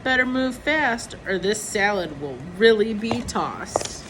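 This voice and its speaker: A woman's voice: medium pitch, expressive, slow, fairly bright tone, slight background noise, rough recording. A woman voicing a cheerful, easygoing husband in a storybook, in an upbeat, reassuring manner. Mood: breezy. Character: cheerful, easygoing husband hosting a family dinner.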